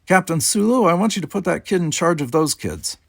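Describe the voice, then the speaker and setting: A man's voice: high-pitched, lively intonation, fairly quick, creaky, dry recording, clean studio sound, voice pushed up, heavily acted. A man voicing a commanding, wry male starship captain in an audiobook, with a blunt manner.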